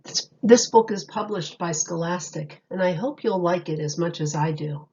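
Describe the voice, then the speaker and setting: A woman reading a children's storybook aloud like a teacher, in an expressive, deliberate storytelling manner. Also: lowish voice, dull audio, slight background noise.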